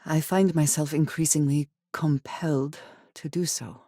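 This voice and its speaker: An actress playing a guarded, serious woman space explorer in a video game, speaking in formal, measured phrases. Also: sits low, fairly even intonation, warm tone, no echo, clean studio sound. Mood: troubled, earnest. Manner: formal, measured diction with few contractions.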